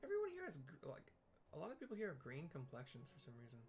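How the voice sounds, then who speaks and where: A man giving casual, improvised commentary on a game and describing the visuals, halting as he thinks aloud. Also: fairly high pitch, huge pitch swings, creaky, darkish tone. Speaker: gamer narrating a playthrough with visual descriptions. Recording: game music behind the voice. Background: video game music.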